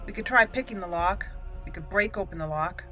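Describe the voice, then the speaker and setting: A woman's voice: fairly low pitch, fairly quick, pushed and bright, soft background music. A woman hosting a roleplay let's play, chatty and playful, thinking aloud as she comments on the game. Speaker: YouTube gaming host.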